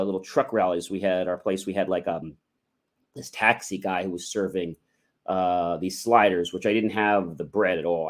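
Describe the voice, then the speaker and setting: A man hosting a motivational livestream, talking in a casual, rambling, conversational way with emphatic asides. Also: lowish voice. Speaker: livestream host, motivational speaker.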